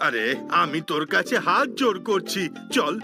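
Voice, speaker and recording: A man's voice: sits high, big pitch swings, normal speed, vocal fry, heavily acted. A man playing a blustering, hapless bandit gang boss in a comic cartoon, exasperated and pleading. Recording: loud music behind the voice.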